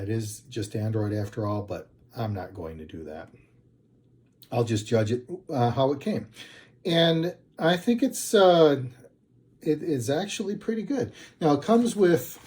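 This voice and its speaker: Male reviewer, slow pace, soft-edged mellow tone, casual, halting, self-correcting. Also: medium pitch. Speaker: product reviewer, channel host. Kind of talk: casual unscripted product review.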